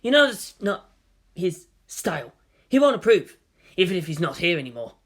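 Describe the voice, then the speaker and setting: A man's voice: sits high, very animated, moderate pace. A man voicing an exasperated, wisecracking younger male agent in a story reading, casual and complaining. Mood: nervy pleading.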